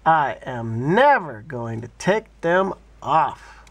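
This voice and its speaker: A man plays an excitable little girl from a storybook. The voice jumps high and swings widely in pitch. The delivery is proud, stubborn and sing-song. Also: slow, dry recording, highs cut off, heavily acted.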